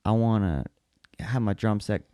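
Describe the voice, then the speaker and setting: A man's voice: fairly low pitch, moderate pace, mellow, clear, resonant tone, no echo. A man chatting casually on a podcast, agreeing and chipping in conversationally.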